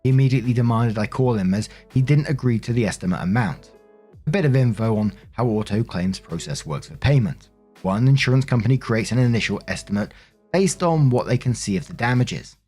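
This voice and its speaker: A man's voice: mid-range voice, lively intonation, brisk, darkish tone, breathy edge, faint music behind. A man narrating online stories in a plainspoken, conversational way, as a host who reads aloud and comments casually. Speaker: narrator and host of a story-reading show.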